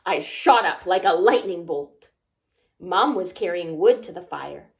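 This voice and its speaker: Slow, animated woman reading to children. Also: on the low side, creaky. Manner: expressive, asks child listeners simple questions. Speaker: children's story time reader. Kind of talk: read-aloud children's picture book narration.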